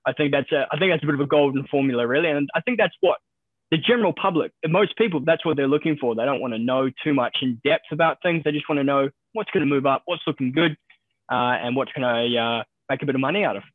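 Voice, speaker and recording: A man's voice: medium pitch, dry recording, narrow, tinny audio. A man from New Zealand with a New Zealand accent, a stockbroker talking casually as a podcast guest, upbeat and often restarting his sentences. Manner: quick, upbeat, repeats words when starting sentences. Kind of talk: casual interview talk.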